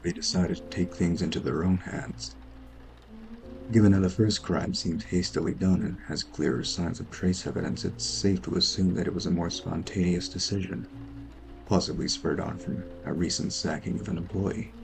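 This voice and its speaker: A man playing a weary, brooding detective, narrating in a measured film noir style for an audio drama. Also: low-pitched, steady tone, gravelly, faint music behind, low-quality recording. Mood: intrigued, analytical. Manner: brooding, measured first-person monologue. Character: weary, sleepless noir detective brooding over murder cases. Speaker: voice actor narrating an audio roleplay.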